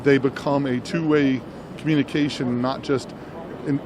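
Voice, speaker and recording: A man talks through a product demo in an explanatory way, elaborating and restating his points as an interviewer would. His voice sits at a medium pitch, and there is clear noise from a trade show floor behind him. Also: steady tone, moderate pace. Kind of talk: unscripted product demo commentary. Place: indoor trade show booth.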